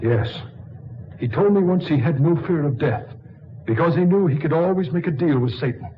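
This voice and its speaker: A man playing a solicitous, gentlemanly doctor in 1940s radio horror, courteous and a little hesitant. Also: fairly high pitch, expressive, moderate pace, a little hiss or hum, lightly acted. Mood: uneasy. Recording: muffled 1940s radio recording.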